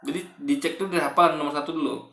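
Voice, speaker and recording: A man recording a casual reaction video in a bare, echoey room, pushing his points brightly as he stumbles and repeats himself. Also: on the high side, fairly even intonation, creaky. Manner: halting, repetitive, self-correcting. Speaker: video commentator. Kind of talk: casual spoken reaction commentary.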